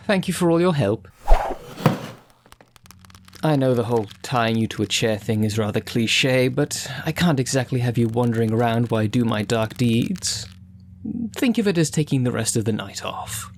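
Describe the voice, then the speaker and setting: A man playing a mockingly polite, theatrical supervillain in an audio roleplay, talking with deliberate, taunting menace. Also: mid-range voice, a little hiss or hum, lightly acted. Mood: mocking, casual. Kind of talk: audio roleplay addressed to the listener.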